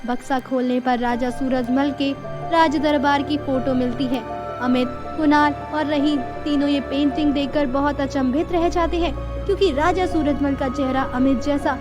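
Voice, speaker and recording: A woman's voice: sits high, steady tone, a little slow. A woman storyteller giving a scripted narration of a horror story. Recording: music under the voice.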